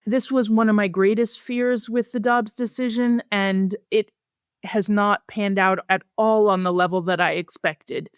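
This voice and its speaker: A woman speaking as an expert guest in an interview, explaining research findings in a measured, serious, explanatory way. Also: medium pitch, steady tone, clear, resonant tone, no room sound, clean studio sound.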